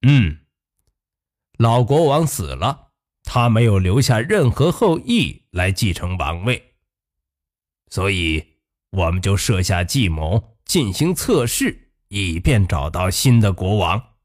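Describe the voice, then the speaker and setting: A storyteller as a solemn, slow old wizard. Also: low-pitched, sing-song, darkish tone, pitched below the performer's own voice, heavily acted. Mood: solemn, approving. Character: solemn old wizard, stately and approving kingmaker.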